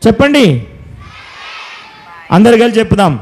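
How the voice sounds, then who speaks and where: A man's voice: high-pitched, big pitch swings, a little slow, darkish tone, a faint crowd, a little hiss or hum. A man leading a children's Bible school, teaching and praying in simple, instructive phrases. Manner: instructing, repeats simple commands and questions. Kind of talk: preaching to children and leading prayer.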